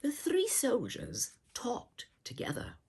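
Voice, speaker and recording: Lady doing storytime for kids, super expressive, slow n deliberate, bit husky. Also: a little high, in a whisper. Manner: theatrical, deliberate, addressing young listeners. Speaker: storyteller reading a picture book aloud. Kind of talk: performed children's storybook narration.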